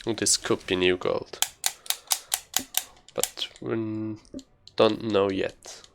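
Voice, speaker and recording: Man, casual speedrun commentary, low-key muttering, monotone delivery, noticeable vocal fry. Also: slow, noisy background. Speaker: speedrunner commentating on own run. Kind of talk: casual live gameplay commentary.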